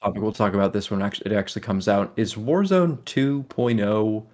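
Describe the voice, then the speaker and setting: A man's voice: on the low side, fairly quick, darkish tone. A man co-hosting a gaming podcast, chatting in a casual, informal style. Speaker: podcast co-host.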